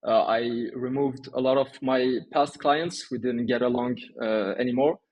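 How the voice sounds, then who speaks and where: A man's voice: mid-range voice, measured pace, lo-fi sound, slight background noise. A man, answering interview questions in a conversational way. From Turkey and France. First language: Turkish and French. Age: 20s. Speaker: interview guest, small agency owner.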